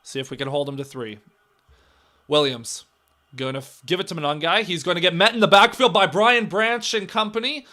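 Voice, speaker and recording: A young man with a raspy, worn-out voice calls a football game live, his pitch sitting a little high. He shifts from loud, excited play calls to quiet muttered asides, and his tired voice cracks now and then. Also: brisk. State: hoarse, voice cracking. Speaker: live reaction streamer. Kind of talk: casual play-by-play commentary.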